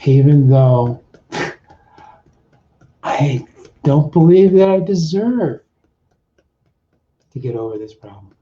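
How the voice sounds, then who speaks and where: Very dark-voiced man coaching, muffled audio. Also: fairly high pitch, expressive. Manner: repetitive, deliberate, pausing between short phrases. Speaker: coach leading a tapping exercise. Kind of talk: guided self-help exercise with repeated affirmations.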